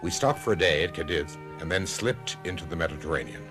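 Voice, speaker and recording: Low-voiced, wry, wary companion. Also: male voice, expressive, brisk. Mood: wry narration. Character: wary, wry male travel companion and narrator. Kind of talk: radio mystery drama acting. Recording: music behind a radio drama.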